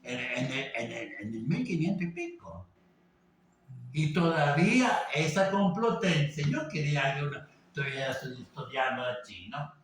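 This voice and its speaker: A man teaching an informal class, talking haltingly with many fillers and tag questions. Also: fairly high pitch, sing-song. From Venezuela. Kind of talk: informal lecture. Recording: echoey room, voice from a distance, faint background noise.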